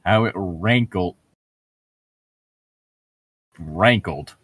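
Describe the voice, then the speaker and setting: A man's voice: low-pitched, extremely slow, a bit bright, slightly rough, no room sound. A man co-hosting a book read-aloud, casually reading and bantering, often swearing and interrupting himself.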